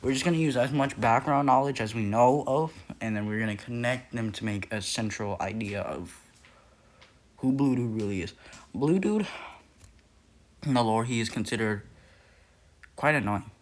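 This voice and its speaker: A man's voice: medium pitch, moderate pace, long pauses, a little hiss or hum. A young man talking casually in a video, rambling with many fillers, restarts and self-corrections. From Nepal. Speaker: youtuber. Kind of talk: casual lore video narration.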